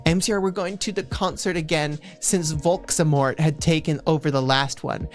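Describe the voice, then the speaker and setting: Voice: mid-range voice, fairly even intonation, normal speed. A streamer giving a comic, mock-serious dramatic reading, stumbling over words and dropping in casual asides. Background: faint background music.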